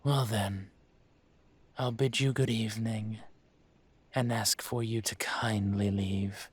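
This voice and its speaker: A man playing a suave, menacing dragon mafia boss in an audio roleplay, measured and condescending with a teasing edge. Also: lowish voice, steady tone, husky. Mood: formal, composed. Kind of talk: scripted audio roleplay, intimate.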